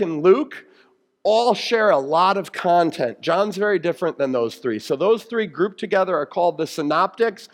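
A man with a notably high-pitched voice gives a conversational sermon. He speaks as a pastor who teaches step by step, keeping his explanations plain-spoken and grounded in everyday examples. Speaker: pastor preaching.

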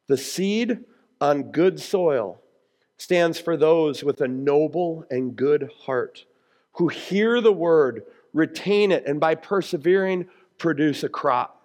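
An American man delivers a sermon in a noticeably high-pitched voice. He sounds like a pastor explaining scripture, earnest and conversational, putting emphasis on his key phrases. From United States. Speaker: pastor preaching.

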